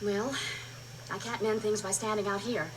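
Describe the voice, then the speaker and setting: An actress playing an imperious, defiant young princess in an old radio drama, formal and forthright. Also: female voice, mid-range voice, fairly even intonation, normal speed, background noise, natural voice. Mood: cool, brisk. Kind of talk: 1980s radio drama acting.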